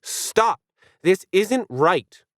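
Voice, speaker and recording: A man's voice: sits high, big pitch swings, very slow, no room sound, studio quality, pitched above the performer's own voice, exaggerated voice. A man voicing a bossy, fierce female dog in a story, growling out impatient orders. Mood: alarmed, fierce.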